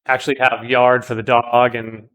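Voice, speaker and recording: A man in his late thirties talks easily on a golf course, chatty and relaxed in his phrasing. He laughs often between shots, and his voice sits at an ordinary middle pitch. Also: normal speed. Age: 30s. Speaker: golf stream host. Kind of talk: casual chat while playing golf.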